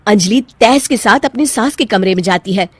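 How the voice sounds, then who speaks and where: A woman's voice: medium pitch, moderate pace, breathy edge, no room sound. A woman reading scripted narration for a cartoon family story.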